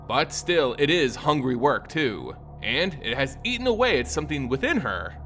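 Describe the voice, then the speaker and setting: A man narrating a scripted fantasy story in a dramatic, solemn and measured storytelling manner. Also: mid-range voice, sing-song, a bit bright, soft background music. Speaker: lore narrator.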